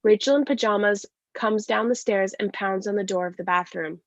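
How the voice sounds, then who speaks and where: A woman's voice: medium pitch, moderate pace, no echo, muffled recording. A woman giving scripted narration, reading stage directions at a play reading.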